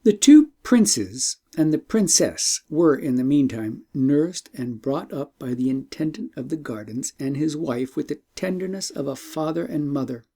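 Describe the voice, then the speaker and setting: Mellow-voiced male storybook narrator. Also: fairly high pitch, expressive, husky. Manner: measured, formal storytelling. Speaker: audiobook narrator.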